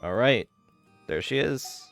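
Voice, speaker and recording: A young man giving casual gameplay commentary, low-key and thinking aloud as he goes. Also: lively intonation, slow pace, creaky, a bit bright. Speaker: gaming video creator. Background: game music.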